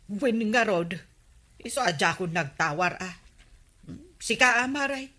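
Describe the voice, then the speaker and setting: Slow, bright, plaintive village shopper. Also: female voice, medium pitch, animated. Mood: plaintive, coaxing. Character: drawling female village market shopper, plaintive and short of money. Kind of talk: comedy radio drama acting.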